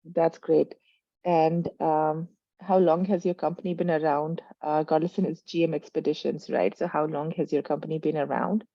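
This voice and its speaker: A woman's voice: deep voice, fairly even intonation, normal speed, no room sound, highs cut off. A woman hosting a webinar interview over a video call, friendly and full of small acknowledgements and fillers. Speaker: webinar host. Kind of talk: interview host questions.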